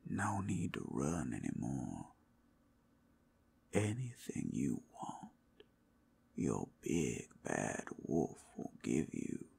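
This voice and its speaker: A man playing a big bad werewolf as a tender, protective lover in an intimate ASMR roleplay, crooning soothingly in a gravelly, breathy half-whisper. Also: very deep voice, huge pitch swings, extremely slow, long pauses, a little mellow, exaggerated voice. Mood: soothing, doting. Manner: soothing, crooning, coaxing with pet names.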